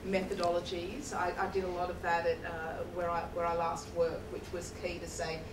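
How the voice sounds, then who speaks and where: A woman's voice: on the low side, monotone, normal speed, background noise. A woman in the audience making an earnest, reflective comment during a Q&A. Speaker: audience member. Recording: distant, echoey, crowd behind.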